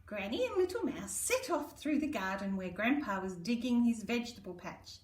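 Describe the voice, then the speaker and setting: A woman's voice: a little high, sing-song, normal speed. Echoey aussie lady a bit far from the mic, sing-song storytime for little kids, affectionate and theatrical. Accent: Australian. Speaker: primary school story reader. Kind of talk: children's storybook read-aloud. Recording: roomy echo, distant microphone.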